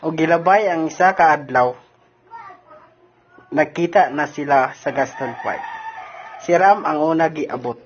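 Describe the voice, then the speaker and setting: A man's voice: a little high, a little slow, pauses often, a little hiss or hum. A man with a Filipino accent reading scripted narration for an amateur radio drama. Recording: dull lo-fi audio with music bed.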